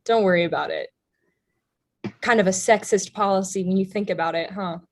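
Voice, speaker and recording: A woman's voice: medium pitch, brisk, natural voice. A woman playing an aloof, cryptic young clinic patient in a staged play reading, with a dry, detached delivery.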